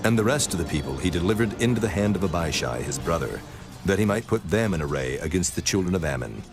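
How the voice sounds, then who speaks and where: A man narrating scripture from a script in a solemn, measured manner. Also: low voice, lively intonation. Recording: music bed under the voice.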